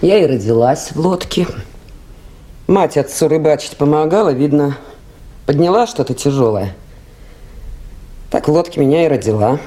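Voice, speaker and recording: A woman's voice: low-pitched, lively intonation, moderate pace, long pauses, warm tone. An actress playing a stubborn, plain-spoken river woman in a radio play, with a blunt, dry, defiant delivery. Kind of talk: 1980s radio play acting. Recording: faintly noisy 1980s radio drama recording.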